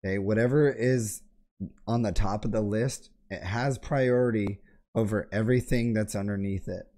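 Hoarse young man with a sore throat. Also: fairly low pitch, normal speed. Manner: relaxed explaining, frequent throat clearing. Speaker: tech tutorial host. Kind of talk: casual screen-share tutorial. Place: home gaming room. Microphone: boom mic close to face, headset on.

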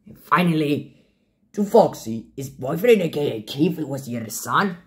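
A man voicing a jolly, boastful cartoon pirate fox with gravelly, halting, theatrical buccaneer talk. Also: fairly high pitch, animated, lightly acted. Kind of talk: in-character fan Q&A voice lines.

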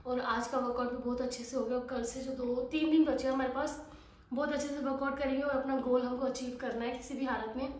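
High-voiced woman vlogging from home. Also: fairly even intonation, quick pace, darkish tone, roomy sound. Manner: chatty, complaining, scolds her kids. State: has a cold. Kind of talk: casual family vlog chat. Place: home kitchen and bedroom. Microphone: phone camera.

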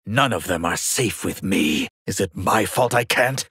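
A proud half-god warrior mage from a fighting game, speaks in a low, husky voice. His haughty, theatrical lines come slowly and deliberately, with plenty of swing in pitch. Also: expressive, sharp tone, no room sound, clean studio sound, exaggerated voice. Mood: proud, guarded. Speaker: video game voice actor.

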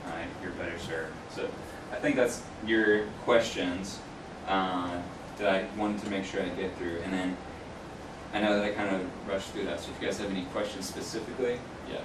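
Low-voiced man, roomy distant recording. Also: normal speed. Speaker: guest speaker, UX researcher. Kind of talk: informal career talk to a class, answering questions. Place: small classroom, indoors. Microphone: distant camera microphone. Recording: roomy, distant mic, clear background noise.

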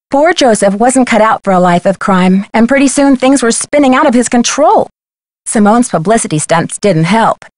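A woman's voice: on the high side, dry recording, close to an everyday voice. A woman playing a level-headed teenage girl detective in a video game, speaking scripted lines in a composed, conversational way. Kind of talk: scripted game dialogue and letter narration.

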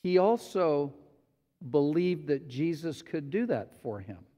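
A male pastor preaching a sermon in a slow, deliberate way, sometimes acting out quotes. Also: a little high, very animated, dark tone. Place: church sanctuary. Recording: long hall reverb.

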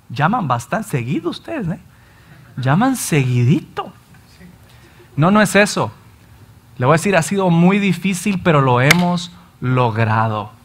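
A Salvadoran pastor in his thirties preaching an animated sermon from a church stage, often acting out his listeners' complaints. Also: high-pitched. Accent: Salvadoran Spanish. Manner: animated, gestures widely, acts out listeners' complaints. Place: church stage in front of a large screen.

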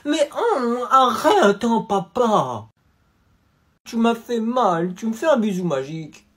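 A man's voice: extremely high pitch, sing-song, a bit bright, husky, heavily acted. A young man playing a whiny, spoiled rich teenage boy in a comedy sketch. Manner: whiny, drawn-out.

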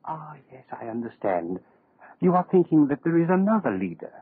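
An actor playing a suave, power-hungry schemer in a 1930s radio horror drama, speaking with pompous, quietly menacing oratory. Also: male voice, fairly high pitch, big pitch swings, moderate pace, slightly rough, no room sound. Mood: grandiose. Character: suave, power-hungry male dictator-in-waiting, grandiose schemer. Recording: muffled old 1930s radio recording.

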